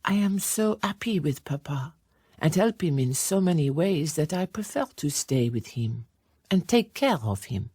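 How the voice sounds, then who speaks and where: A woman's voice: deep voice, sing-song, measured pace, mellow, husky, dry recording, pitched above the performer's own voice, full character voice. A woman narrator voicing a confident, playful young Victorian society lady with poised, emphatic delivery, as in an audiobook. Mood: fond, earnest.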